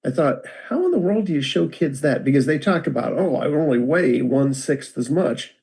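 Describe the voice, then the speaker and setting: A man's voice: on the high side, moderate pace, poor audio. An older American man giving a rambling, self-deprecating storytelling talk over a video call. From United States. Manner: relaxed, rambling, self-deprecating with many restarts. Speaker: guest speaker.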